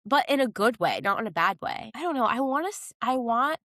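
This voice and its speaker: A woman's voice: a little high, very animated, fairly quick, a bit bright, no echo, clean studio sound. A young woman co-hosting a podcast, chatting casually about pop culture in slangy, conversational language. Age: young adult. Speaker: podcast co-host.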